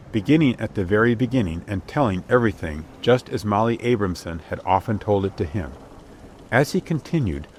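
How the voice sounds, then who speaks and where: A man with a fairly low voice narrates a storybook as an audiobook. The reading is scripted and dramatic. Outdoor ship ambience is clearly heard behind the voice. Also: a little hiss or hum.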